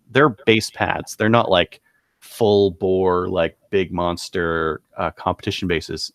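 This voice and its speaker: Low-voiced man, casual podcast host. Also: fairly bright tone, no room sound, studio quality. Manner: halting, thinking aloud. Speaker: podcast co-host presenting gun deals. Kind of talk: casual podcast product rundown.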